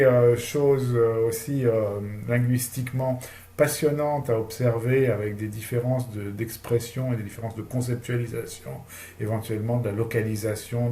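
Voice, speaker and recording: A man giving a recorded lecture on language teaching, pausing often with fillers. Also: medium pitch, warm tone, echoey room. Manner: hesitant, many fillers.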